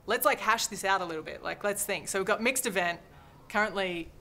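A young woman hosts a relaxed group discussion indoors. She talks informally and jokily at a medium pitch, with faint ambient noise behind her. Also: fairly quick, creaky. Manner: joking, informal. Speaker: host. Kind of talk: casual group chat. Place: indoor lounge, seated around a table. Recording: faint background room noise.

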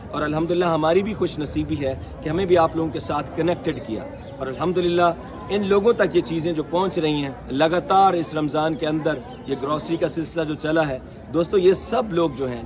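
A man in his thirties from Pakistan speaks to the camera from a few feet away in an open courtyard. His slightly raised voice is earnest and devout, full of blessings. A steady murmur of a seated crowd sits behind him. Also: on the high side. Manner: gestures with open hands while speaking. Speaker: charity vlogger handing out groceries. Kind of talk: earnest address to viewers. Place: open courtyard outdoors among seated families. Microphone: camera a few feet away, waist-up framing. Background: crowd of people.

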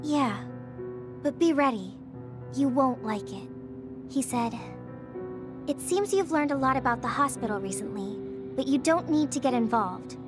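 A woman's voice: sits high, expressive, measured pace, long pauses. An actress playing a serious young female soldier in a game, speaking with urgency, with music behind. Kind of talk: video game dub acting.

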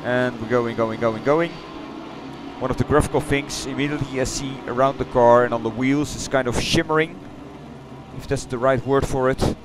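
A middle-aged man with a Dutch accent, chatting loosely through a replay of his racing game run, halting and correcting himself as he goes. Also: mid-range voice, steady tone, noisy background. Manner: halting, rambling, self-correcting. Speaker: sim racing streamer. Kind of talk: casual replay commentary. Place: home gaming room.

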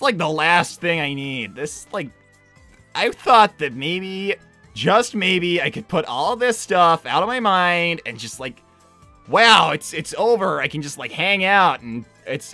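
A man voicing a brash young cartoon hedgehog hero, flustered and defensive, talking casually and haltingly in character. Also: fairly high pitch, expressive, bright, forceful tone, faint music behind, heavily acted. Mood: weary, sarcastic. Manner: halting, casual teenage slacker phrasing. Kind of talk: improvised in-character livestream Q&A.

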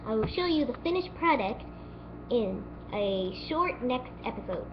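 Woman, amateur cooking-show host, unscripted and a little halting, recorded on a camera mic with a dull, noisy sound. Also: on the high side, big pitch swings. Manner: unscripted, stumbling over words now and then. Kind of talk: homemade cooking demonstration. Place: home kitchen. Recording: dull lo-fi home video with noise.

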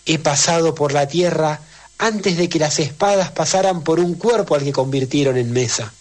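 A man reciting poetry in a solemn, declamatory way with measured phrasing. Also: a little high.

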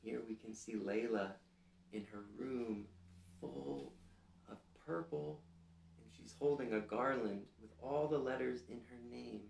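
Male storytime reader, 30s, unhurried and gentle, slightly creaky voice, recorded in a roomy space. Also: medium pitch. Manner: gentle, deliberate, addressing young children. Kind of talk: children's picture-book read-aloud with questions to listeners. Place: small indoor living room, seated. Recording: roomy echo.

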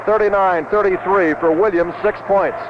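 A man's voice: sits high, steady tone, moderate pace. A man calling live basketball play-by-play as a radio announcer, in short clipped phrases. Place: basketball arena broadcast position. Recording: dull, noisy vintage 1970s radio broadcast.

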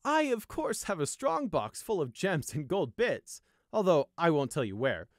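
A man's voice: on the high side, big pitch swings, no room sound, clean studio sound, pitched above the performer's own voice. Man putting on a pompous, lilting noble unicorn. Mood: airy, satisfied. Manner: airy, self-satisfied, drawn-out listing. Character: pompous, wealthy, boastful noble male unicorn.

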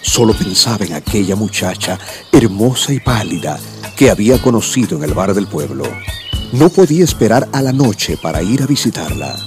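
A man's voice: on the low side, big pitch swings, normal speed. A man giving scripted dramatic narration for a mystery radio play. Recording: music bed behind the voice.

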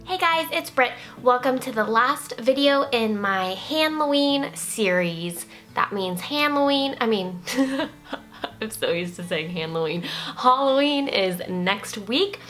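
Chatty young woman doing a nail tutorial, bright voice pitched a bit high, faint music under her. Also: animated, slow pace. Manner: chatty and upbeat, self-mocking asides. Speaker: beauty vlogger. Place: bedroom, indoors.

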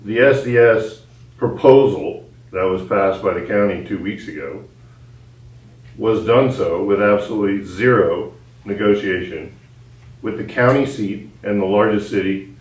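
A man's voice: lowish voice, unhurried. A man making blunt, emphatic remarks as a council member at a public meeting. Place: indoor council chamber. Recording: echoey room, distant mic.

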